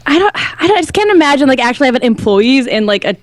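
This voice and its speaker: A woman's voice: high voice, very animated, rapid-fire, no echo. A woman on a talk show panel chatting casually. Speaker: podcast panelist. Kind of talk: casual chat.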